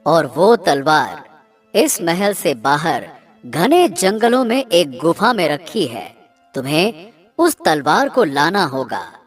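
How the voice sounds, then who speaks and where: Woman voicing a slow, low, mysterious cartoon swan. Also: big pitch swings, soft background music, full character voice. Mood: solemn, instructing. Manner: slow, deliberate, dramatic. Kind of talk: animated children's story dialogue.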